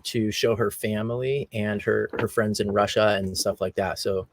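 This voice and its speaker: An American man hosting an interview on a remote call, relaxed and conversational with frequent ums. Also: lowish voice, moderate pace, dry recording. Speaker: podcast host. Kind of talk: casual interview.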